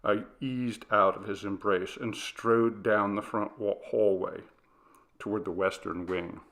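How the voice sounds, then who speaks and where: A man narrates a story with a low, gravelly voice and a bright, forceful edge. His reading is dramatic and scripted, told in the first person. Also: fairly even intonation, measured pace. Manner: dramatic, acts out dialogue with shifts of pitch and volume. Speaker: story reader.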